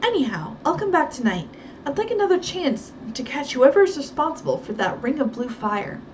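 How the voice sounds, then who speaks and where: An earnest young woman sleuth with a lively, fairly high voice, as a woman narrator reads her. She speaks firmly, from a slight distance from the mic over a faint hiss. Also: brisk, lo-fi sound. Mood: determined.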